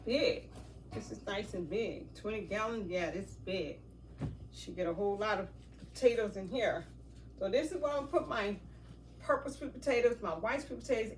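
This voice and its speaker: A chatty woman who sounds a bit nasal from a stuffy nose, talking through an unboxing in a loose, rambling way full of ums. She sits back from the microphone, so her voice sounds distant. A noticeable hiss runs underneath. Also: medium pitch. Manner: chatty, rambling, many fillers. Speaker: vlogger. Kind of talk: casual unboxing vlog. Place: outdoors near a garden. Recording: noisy, from off mic.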